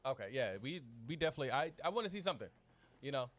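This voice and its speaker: A man's voice: mid-range voice, sing-song, very fast, fairly bright tone. A man chatting casually as an esports commentator, informal and easygoing, feeling his way through his phrases. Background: background music.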